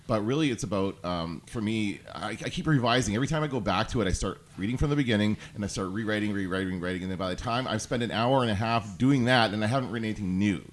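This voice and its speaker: A man with a loud voice, the show's producer, chatting casually as a guest in a self-deprecating, hesitant way. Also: on the low side, fast, few pauses. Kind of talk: casual podcast conversation. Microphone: studio microphone.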